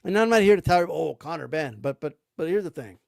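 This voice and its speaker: A man's voice: high-pitched, expressive, fast talker, darkish tone, clear, resonant tone, dry recording, clean studio sound. A man giving blunt, rambling commentary about boxing, full of slang and cursing. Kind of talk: casual ranting commentary.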